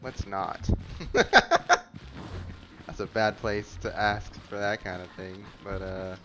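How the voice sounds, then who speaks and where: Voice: low voice, takes it slowly, bright, forceful tone, a little hiss or hum, poor audio. A game streamer giving casual playthrough commentary with offhand, wry asides.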